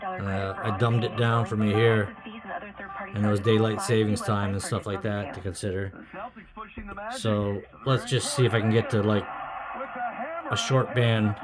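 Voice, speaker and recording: A man giving a casual, unscripted demonstration as a hobbyist, thinking aloud in a halting, uncertain way. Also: lowish voice, little pitch movement, a loud crowd. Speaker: hobbyist vlogger. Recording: noisy with clicks and radio. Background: radio noise and clicks.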